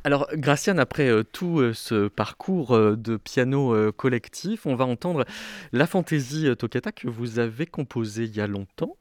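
Male music host, medium pitch, unscripted interviewing, dry close recording. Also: expressive, moderate pace, no echo.

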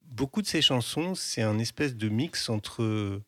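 A man hosting a music radio show in French, chatting casually with a co-host and pausing often with fillers. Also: medium pitch, no room sound. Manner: relaxed, hesitant, many pauses and fillers.

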